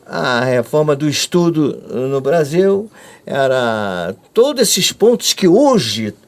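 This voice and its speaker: Older Brazilian man, high-pitched and animated. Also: takes it slowly. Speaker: interviewee recalling his own past. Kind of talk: conversational oral testimony.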